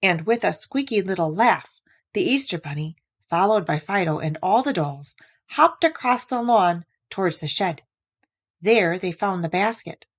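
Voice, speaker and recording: A woman narrating a children's story from a book in an expressive, deliberate read-aloud manner. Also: fairly low pitch. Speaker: storybook reader.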